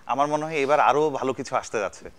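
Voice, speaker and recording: A man's voice: medium pitch, fast talker. A man from Bangladesh hosting a casual celebrity chat show, joking and heaping compliments on his guests. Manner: jokey, effusively complimentary to guests. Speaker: TV show host.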